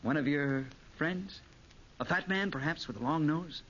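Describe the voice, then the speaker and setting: Brash American male flirt, played by an actor, highish, probing, teasing, vintage radio drama, faint noise. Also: normal speed, a bit bright, lightly acted. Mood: inquisitive. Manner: glib, teasing. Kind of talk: 1940s radio drama acting. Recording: muffled old radio recording, faint noise.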